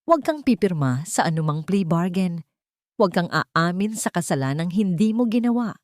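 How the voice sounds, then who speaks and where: A resolute young Filipino woman, as a female narrator reads her in a dramatic audio story. She speaks earnestly in a slightly low voice, recorded dry and close. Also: animated, moderate pace, a little mellow, dry recording, natural voice. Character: determined, earnest young woman promising rescue.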